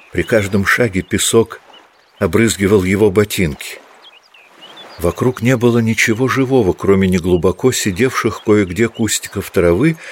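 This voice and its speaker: A man with a deep, low voice narrates a literary audio drama. His delivery is measured and scripted, that of a storyteller setting out each scene. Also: breathy edge.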